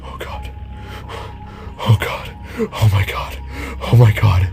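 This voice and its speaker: A man's voice: fairly low pitch, steady tone, takes it slowly, creaky, exaggerated voice. A man acts out a distraught schoolteacher in an audio drama, protective and badly shaken. He stammers, gasps and repeats himself over background music. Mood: horrified, stunned.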